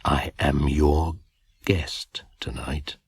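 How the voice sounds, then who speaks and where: An actor playing an ancient pagan winter spirit, a brooding, commanding shaman, in a slow, incantatory 1980s radio drama monologue on an old broadcast recording. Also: rumbling low, level tone, breathy edge, no room sound, exaggerated voice. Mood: eerie, menacing.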